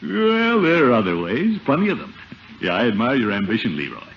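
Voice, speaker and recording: A blustery, fatherly middle-aged uncle with an animated, self-important delivery. It is 1950s radio sitcom acting, dulled by an old broadcast recording. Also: mid-range voice, a little fast, dull audio, a little hiss or hum. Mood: fatherly advising. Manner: pompous, avuncular, chuckling.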